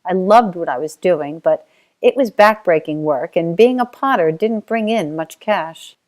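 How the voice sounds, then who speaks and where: Middle-aged Australian woman, low-ish voice, steady reflective memoir read. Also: measured pace. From Australia (Tasmania). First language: Auslan (sign language). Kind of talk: reading a personal life story.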